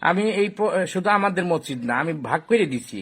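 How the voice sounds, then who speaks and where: A self-important, impatient village committee president, played by a man in a comedy skit. His voice is slightly high and husky, and he talks fast. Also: animated, fairly bright tone, heavily acted. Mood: boastful.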